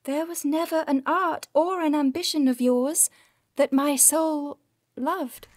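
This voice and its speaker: Intense, proud woman poet-lover, high voice, slow theatrical phrasing, dry close sound. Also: dry recording. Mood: bitter, reproachful. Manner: dramatic, expressive. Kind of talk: theatrical audio drama acting.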